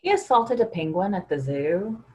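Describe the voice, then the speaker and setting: A woman's voice: medium pitch, lively intonation, moderate pace, darkish tone, close to an everyday voice, rough recording. A woman in a staged play reading, playing a self-assured, preachy, earnest believer in energies. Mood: preachy, certain.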